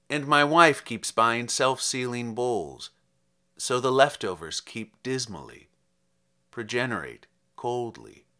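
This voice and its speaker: Man, poet's reading, slow and deliberate, lively pitch movement, dramatic emphasis. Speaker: poet reading own poems. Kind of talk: literary poetry reading.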